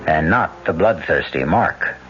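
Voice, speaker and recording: A man narrating a radio mystery drama in a measured, wry way. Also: rumbling low, expressive, a bit bright. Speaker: narrator host. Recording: dull old radio broadcast with music.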